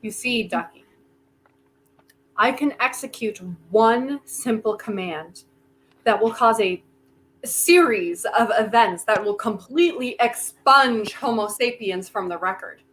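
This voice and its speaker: Woman acting conflicted human who could end the world, really slow deliberate talk, highish voice, grave, play reading. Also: a bit bright, poor audio. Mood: grave, wry. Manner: deliberate, halting, philosophical. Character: conflicted human holder of apocalyptic power, grave. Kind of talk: virtual staged play reading.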